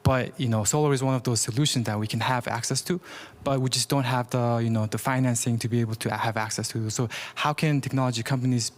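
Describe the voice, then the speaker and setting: A man's voice: medium pitch, steady tone, fast. A man from Mongolia asking an earnest question from the audience, often adding "you know" and "right". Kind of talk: question from the floor.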